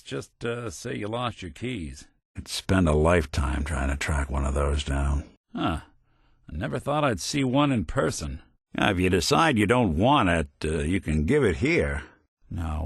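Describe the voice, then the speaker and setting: A man's voice: lowish voice, a bit bright, husky, no room sound, full character voice. A man voicing a wry, world-weary old synthetic detective in a video game, with a hard-boiled American drawl and dry sarcasm. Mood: musing, wry. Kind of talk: video game character acting, noir detective.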